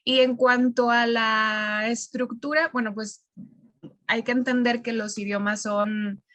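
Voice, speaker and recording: A woman with a Mexican accent, a language teacher explaining things reflectively in a conversational interview, pausing as she searches for words. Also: on the high side, fairly even intonation, fairly bright tone, dry recording.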